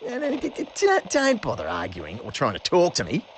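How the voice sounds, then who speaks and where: A man's voice: a little high, huge pitch swings, a little fast, slight background noise. A man with an Australian accent playing a pompous, cheeky mole-creature king in an audio drama, boastful, mocking and full of slang. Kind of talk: audio drama villain monologue.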